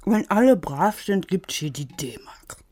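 A woman's voice: on the low side, animated, slow, a little mellow. An actress playing a wry, idealistic woman in politics, chatting casually over dinner in a naturalistic radio drama. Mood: wry, frustrated. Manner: casual, wry, talking while eating.

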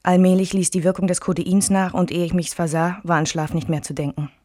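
A woman's voice: lowish voice, flat delivery, a little fast, warm tone, dry recording, clean studio sound, natural voice. An actress playing a weary wife and mother who recalls her troubled marriage in restrained, literary radio play narration. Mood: restrained, reflective.

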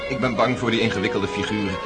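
An actor playing a shy, clumsy, polite young gentleman in a radio drama. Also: lowish voice, fairly even intonation, quick pace, natural voice. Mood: bashful. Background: violin music.

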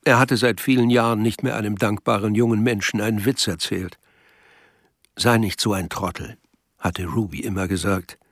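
A man's voice: lowish voice, steady tone, moderate pace, no room sound. A man reading scripted literary narration as the narrator of a radio drama.

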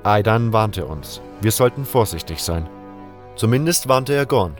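A man's voice: fairly low pitch, expressive, unhurried, soft background music. A man reading scripted first-person narration for a fantasy audio drama.

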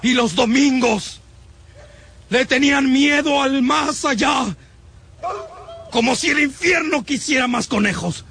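A man's voice: extremely high pitch, slow, long pauses, a bit bright, slight background noise, exaggerated voice. An actor playing a fanatical, contemptuous male survivor in a radio drama, haranguing and menacing in a declamatory way. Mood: ranting, contemptuous.

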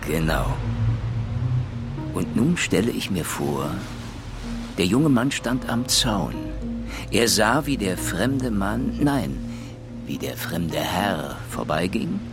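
An actor playing a probing, thoughtful police inspector in a radio crime drama, speaking deliberately and questioningly as he thinks aloud. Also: deep voice, very animated, heavily acted. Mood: demonstrating, musing. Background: background music.

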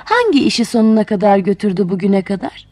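Woman as a stern, wise old grandfather, animated. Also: mid-range voice, fast, no echo, close to an everyday voice. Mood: stern, exasperated. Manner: admonishing, exclaiming. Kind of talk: fairy-tale character voice.

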